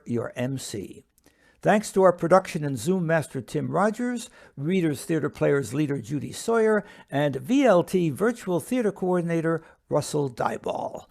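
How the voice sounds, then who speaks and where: A man's voice: fairly high pitch, creaky, a little mellow. A man acting as MC, reading scripted introductions for a show. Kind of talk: scripted announcing.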